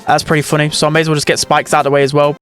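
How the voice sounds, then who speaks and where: A man's voice: a little high, flat delivery, rapid-fire, fairly bright tone, faint music behind. A man giving casual, opinionated ranking commentary as host of a gaming video. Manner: chatty, opinionated.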